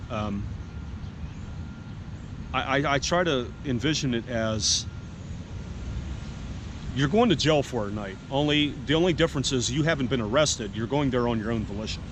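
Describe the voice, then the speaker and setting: A man talking frankly and plainly in a casual vlog, with a congested, stuffy-headed voice, recorded outdoors in a city park. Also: mid-range voice. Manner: frank, plain-spoken, rambling asides. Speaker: homeless vlogger. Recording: outdoor noise in the background.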